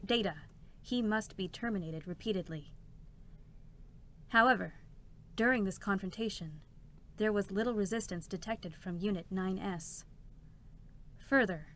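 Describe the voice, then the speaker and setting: A woman's voice: mid-range voice, a bit bright, natural voice. A cold, procedural female support robot, acted by a woman, speaks in formal, clipped announcements. The game drama reading has music playing behind the unfeeling, clinical delivery.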